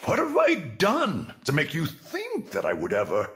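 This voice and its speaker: A man playing a gentle noble husband and soldier, hushed and stunned, his voice breaking with fear. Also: fairly high pitch, wildly swooping pitch, measured pace. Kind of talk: audio drama acting.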